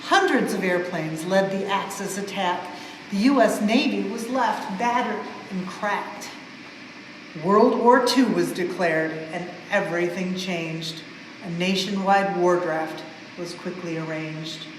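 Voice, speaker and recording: A woman reads a storybook aloud for children with expressive, measured emphasis on the rhymes. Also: medium pitch. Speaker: museum staff member reading a story aloud. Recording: very echoey large hall, some noise.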